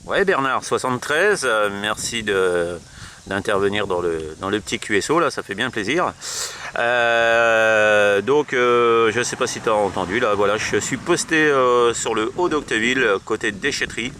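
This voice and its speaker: Man, French accent, lowish voice, hesitant and repetitive, casual radio talk, faint outdoor vehicle noise. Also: expressive, unhurried, bright, forceful tone. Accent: French from France. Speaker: amateur radio operator presenting his portable setup. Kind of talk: casual spoken presentation and radio chat. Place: outdoors at a roadside.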